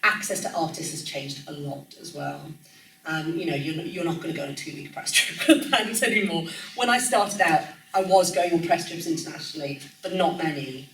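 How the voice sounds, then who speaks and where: Welsh woman, mid-forties, relaxed and rambling, slightly low voice, picked up at a distance in an echoey room. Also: fairly quick. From Wales. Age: 40s. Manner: chatty, frequent ums and self-corrections, laughs easily. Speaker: music journalist guest speaker. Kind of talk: casual conversational talk. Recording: echoey room, distant mic, faint noise.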